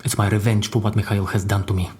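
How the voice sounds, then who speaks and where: An actor playing a calm, vengeful prison informant in an audio drama, measured and matter-of-fact. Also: male voice, fairly low pitch, natural voice. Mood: coolly confiding.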